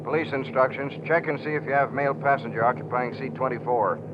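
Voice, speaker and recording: An actor playing an official, crisp-speaking male airport tower operator in a 1940s radio drama. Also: mid-range voice, fairly even intonation, quick pace, close to an everyday voice. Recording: muffled old radio broadcast with audible hiss.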